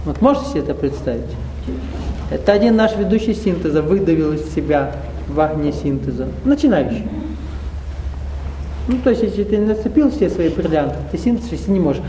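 A man's voice: on the high side, expressive, brisk, warm tone, slight background noise. A man leading a spiritual seminar, lecturing a live audience in a conversational way with rhetorical questions and wry asides. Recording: roomy hall echo.

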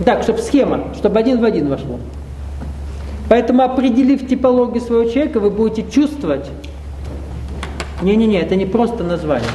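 High-voiced man lecturing in an echoey hall. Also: sing-song, brisk, pauses often, mellow, slight background noise. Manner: conversational, rhetorical questions, wry asides. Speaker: spiritual seminar leader. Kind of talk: live lecture to an audience. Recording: roomy hall echo.

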